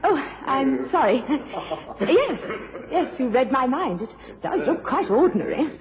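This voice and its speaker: Polite, lilting, high-voiced lady journalist. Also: sing-song, measured pace, a crowd in the background, heavily acted. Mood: polite, curious. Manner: well-mannered, formal, earnest. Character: polite, composed young lady journalist under suspicion. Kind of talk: British period radio drama acting and narration. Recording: muffled old radio recording.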